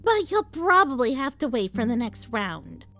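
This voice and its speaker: A woman's voice: a little high, big pitch swings, moderate pace, sharp tone, faint music behind, voice pushed up, full character voice. A woman voicing a curious, slightly nervous young filly in a storybook reading.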